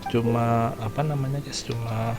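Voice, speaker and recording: A man's voice: medium pitch, fairly even intonation, fairly quick. Brief: Indonesian man streaming a game, chatty casual commentary, game music underneath. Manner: chatty asides to viewers. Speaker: gaming streamer.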